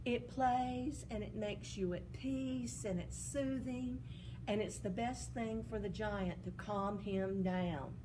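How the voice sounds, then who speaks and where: A woman voicing a frantic young servant girl who pleads in a shrill, panicky voice. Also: steady tone, slow, background noise, natural voice. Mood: hushed.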